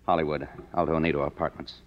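A man's voice: rumbling low, little pitch movement, fast talker. An American man acting as a cynical, broke young screenwriter in a 1950s radio drama, wry and sardonic. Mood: evasive, polite. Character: cynical, broke young screenwriter narrating his own story. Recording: muffled old radio broadcast, music behind.

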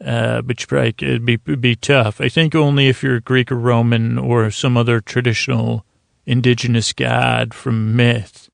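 Man, slightly smoky and deeply creaky, narrow pitch range, soothing bedtime rambling, self-deprecating, halting. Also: flat delivery, no echo, studio quality. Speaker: podcast host.

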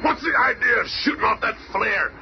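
An actor playing a big, brawny monster hero in a radio superhero drama, gruff and gravelly, with streetwise bluster. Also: male voice, high voice, very animated, moderate pace, very noisy, exaggerated voice. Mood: irritated. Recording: muffled radio recording over loud music.

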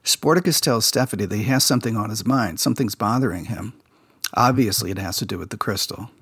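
A man's voice: fairly low pitch, quick pace, husky, no echo, clean studio sound. A man hosting a podcast, casually recapping a children's TV episode and sharing behind-the-scenes production stories. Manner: relaxed insider storytelling, recounting production details.

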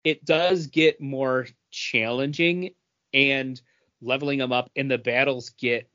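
A man's voice: mid-range voice, steady tone, takes it slowly, sharp tone, highs cut off. A man co-hosting a podcast, eagerly explaining how a mobile game works in casual chat. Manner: explains eagerly, talks over others. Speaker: podcast co-host.

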